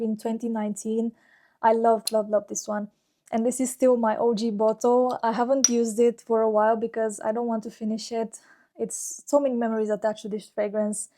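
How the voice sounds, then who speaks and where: A woman's voice: on the high side, warm tone. A woman, talking casually and fondly about her own life as a YouTuber, with many "like" fillers. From Romania. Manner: chatty and fond, with frequent "like" fillers. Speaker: fragrance YouTuber. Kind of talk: casual personal vlog talk.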